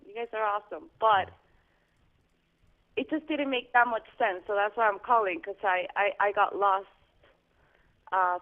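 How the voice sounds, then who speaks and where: A woman caller from Guatemala, heard through a narrow, tinny telephone line. She talks earnestly, feeling her way through her point with frequent restarts and hesitations. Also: medium pitch. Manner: earnest, halting, restarts often. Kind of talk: call-in radio chat. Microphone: home landline phone. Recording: phone line.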